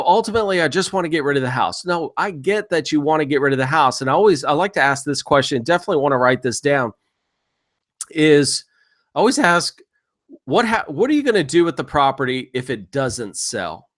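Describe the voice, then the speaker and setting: Guy with a slightly high voice coaching people on sales, chatty but emphatic, explains stuff step by step. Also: fairly bright tone. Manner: conversational, explanatory, acts out short imagined seller exchanges. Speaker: real estate investing instructor. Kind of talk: instructional sales training talk.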